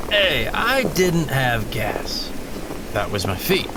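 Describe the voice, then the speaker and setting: A man's voice: medium pitch, big pitch swings, slow pace, very noisy. An actor playing a joking father in his fifties on a fishing trip, with an easygoing, teasing manner. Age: 50s. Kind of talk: audio drama acting.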